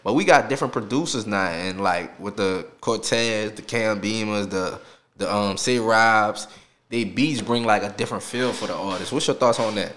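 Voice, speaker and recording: A man's voice: fairly low pitch, normal speed, fairly bright tone. A man in his twenties with a New Orleans accent, chatting casually and slangily as he interviews a guest on his podcast. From New Orleans, USA. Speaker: podcast host.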